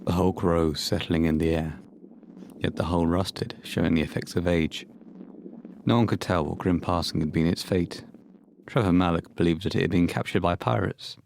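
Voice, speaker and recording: A man's voice: low voice, fairly even intonation, moderate pace, creaky, a little mellow, a little hiss or hum. A man reading scripted narration as the storyteller of an audio horror play.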